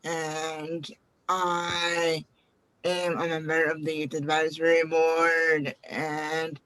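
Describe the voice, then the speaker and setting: A woman's voice: low voice, level tone, drawn-out words, a bit bright, rough recording. A woman in her twenties from Connecticut, a youth advisory board member speaking hesitantly and reflectively in a casual panel chat. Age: 20s. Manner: hesitant, reflective, many ums.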